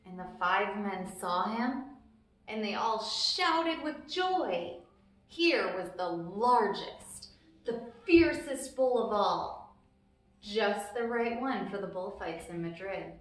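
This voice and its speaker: A woman's voice: medium pitch, expressive, slow pace. A woman hosting a storytime, reading a children's book aloud expressively and explaining things simply for young listeners. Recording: very echoey, reverberant room.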